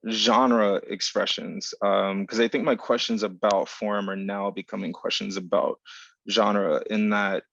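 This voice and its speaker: A man speaking reflectively as a writer on a panel, deliberate and halting as he searches for precise words. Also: fairly low pitch, level tone, vocal fry, fairly bright tone, no room sound. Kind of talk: reflective panel talk about craft. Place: remote video call. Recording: dull video-call audio.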